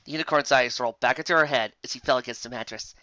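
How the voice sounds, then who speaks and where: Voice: mid-range voice, racing, pushed and bright, no echo. A narrator reading scripted fan fiction in a dramatic, emphatic storytelling manner, on a slightly dull recording.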